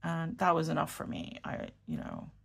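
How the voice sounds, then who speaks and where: Low, slow, gravelly-voiced woman from New York. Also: huge pitch swings, a bit bright. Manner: rambling, self-correcting, murmurs while writing. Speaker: fountain pen vlogger. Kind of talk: casual chat while writing.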